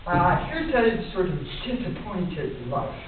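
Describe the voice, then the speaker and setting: An older woman poet reads her work to an audience in a measured, deliberate way, with casual introductions, picked up by a distant microphone. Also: fairly low pitch, sing-song. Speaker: poet reading her own poems. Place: indoor room at a conference, speaking at a lectern. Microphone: distant camera microphone. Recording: muffled, hissy recording from far off in echoey room.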